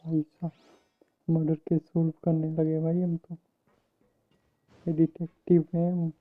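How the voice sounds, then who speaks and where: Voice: medium pitch, completely flat delivery, rapid-fire. A game livestreamer giving casual, joking commentary. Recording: dull lo-fi mic, much louder than game. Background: game music.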